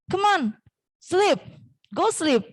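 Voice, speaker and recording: A woman voicing a big, calm, reassuring mouse in a children's picture book, explaining things soothingly. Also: very high-pitched, extremely slow, voice pushed up, exaggerated voice. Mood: coaxing.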